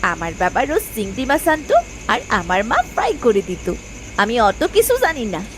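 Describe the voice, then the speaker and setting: Woman voicing a whiny, high-pitched young village wife. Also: sing-song, moderate pace, a bit bright, slight background noise. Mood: bossy, greedy. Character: young Bengali village wife, greedy, demanding, fond of food. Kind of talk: cartoon story voice acting.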